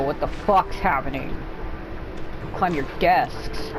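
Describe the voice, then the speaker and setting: A woman's voice: lowish voice, rough recording. A woman doing casual gameplay commentary with a sarcastic, irreverent manner and plenty of swearing. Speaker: gaming streamer playing and commenting. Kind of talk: casual gameplay commentary with sarcastic quips and reading in-game text aloud. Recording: game music behind the voice. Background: video game music and sound.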